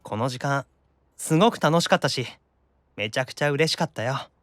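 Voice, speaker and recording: A man playing an excitable, whiny, easily embarrassed young otaku demon in an anime audio drama. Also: mid-range voice, normal speed, dry recording, studio quality, soft background music, natural voice. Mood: grateful. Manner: muttering confessions, then panicky pleading.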